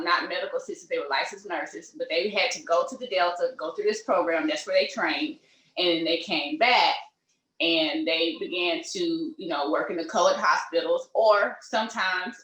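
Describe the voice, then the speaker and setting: A middle-aged woman, speaking in a fairly low voice. She tells her story in an easygoing way, full of ums and you-knows. The recording has the echo of a room. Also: normal speed, a bit bright. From Mississippi. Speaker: pediatrician, interview guest. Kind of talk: casual interview conversation. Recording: echoey room.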